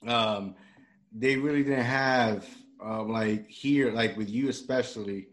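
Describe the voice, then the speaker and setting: A man's voice: medium pitch, slow pace. A man from Puerto Rico hosting a podcast, talking in a loose, rambling way with many restarts and fillers. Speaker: podcast host. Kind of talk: casual interview chat.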